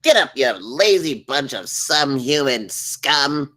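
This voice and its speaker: A man's voice: mid-range voice, expressive, takes it slowly, pushed and bright. A man playing a crude, bossy, sycophantic spaceship officer with an abrasive, theatrical manner at a comedy table read. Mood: bossy, menacing. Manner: abrasive, theatrical, switching between barking and fawning.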